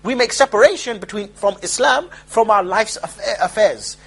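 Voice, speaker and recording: A man giving an informal street-style lecture as a preacher, rapid and emphatic with mocking asides. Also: high voice, animated, vocal fry, fairly bright tone, husky.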